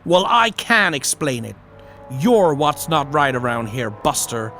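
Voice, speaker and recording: A man's voice: medium pitch, lively intonation, unhurried, bright, forceful tone, soft background music. A man playing an impatient military policeman in an audio drama, bossy and brusque. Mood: brusque, irritated. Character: gruff, impatient American military policeman on guard. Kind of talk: scripted audio drama acting.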